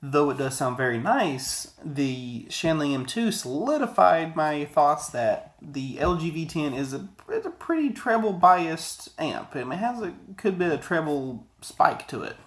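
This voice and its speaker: A man's voice: a little high, big pitch swings, measured pace, hardly any pauses. A man in his thirties giving a casual, rambling, conversational product review to camera, often digressing. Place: small indoor office, sitting at a desk.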